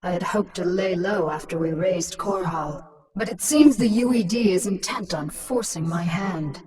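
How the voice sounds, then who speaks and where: A woman's voice: fairly low pitch, moderate pace, far from the mic, crowd noise, lightly acted. A woman playing a ruthless alien swarm queen in a video game, commanding and scornful in manner. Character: ruthless alien swarm queen, transformed human, imperious and taunting.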